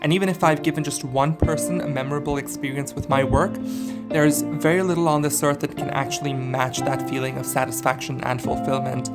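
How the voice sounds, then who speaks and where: A young man, a singer, giving a reflective personal statement in an earnest, articulate way with occasional filler pauses. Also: medium pitch, fairly even intonation, loud music behind the voice.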